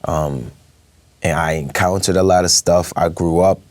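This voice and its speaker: A young man speaks, his voice sitting low. He talks casually as an interview guest, hesitating often with fillers and laughing easily. His voice sounds dry and close. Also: fairly even intonation, a little slow, darkish tone, no room sound. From Brooklyn, New York. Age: young adult.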